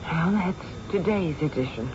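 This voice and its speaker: An actress playing a plainspoken, uneasy small-town nurse in an old-time radio drama. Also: female voice, fairly low pitch, lively intonation, a little slow. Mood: uneasy, confiding. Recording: noisy, muffled old radio recording.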